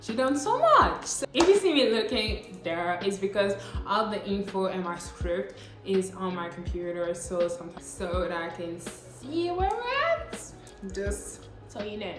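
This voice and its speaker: Brief: late-teen woman, non-native English, upbeat chatty vlogger, unhurried delivery, echoey room, faint music behind. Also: medium pitch, sing-song. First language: not English. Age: late teens. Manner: chatty, upbeat, slang-filled. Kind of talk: casual scripted vlog.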